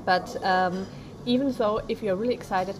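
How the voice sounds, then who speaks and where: A woman co-hosting a casual motivational podcast, speaking in halting English with non-native phrasing and many fillers. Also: medium pitch, crowd noise. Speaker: podcast co-host.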